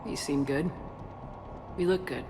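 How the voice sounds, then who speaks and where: Game commander, deep female register, direct and caring, over background rumble. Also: unhurried. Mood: warm, curious. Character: resolute military commander heroine, calm and caring. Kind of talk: video game cutscene acting.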